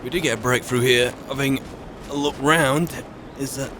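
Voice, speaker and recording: A man's voice: mid-range voice, fairly even intonation, moderate pace, background noise, close to an everyday voice. A man playing a wry adventurer, musing aloud to himself and his companions as he roleplays a fantasy video game. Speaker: player roleplaying a game character on a let's play channel. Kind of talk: in-character improvised game narration.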